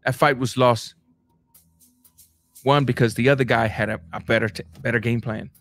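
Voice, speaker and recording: A man from Cuba, hosting a casual boxing livestream and reading viewer comments, animated, stumbling over his words and jumping up in pitch. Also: normal speed, dry recording, soft background music. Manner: animated, repeats words and restarts, rising to high bursts.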